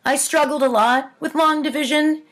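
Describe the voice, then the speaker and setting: A woman's voice: high-pitched, fairly even intonation, moderate pace, a bit bright. A woman reading stories aloud to viewers, theatrical in the reading with wry, casual, offhand commentary. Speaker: host reading aloud childhood writing.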